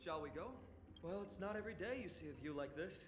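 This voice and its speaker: A man's voice: fairly high pitch, lively intonation, fast, close to an everyday voice. A young man voicing an earnest, friendly male hero who speaks sincerely and thoughtfully. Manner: warm-hearted, sincere, reflective. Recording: echoey, faint game music behind.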